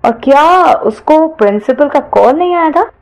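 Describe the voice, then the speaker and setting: Audio story role: woman as earnest male college student, grave and accusing, somewhat high for a lad. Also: lively intonation, unhurried, a little mellow, poor audio. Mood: angry, accusing. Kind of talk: audio story character dialogue.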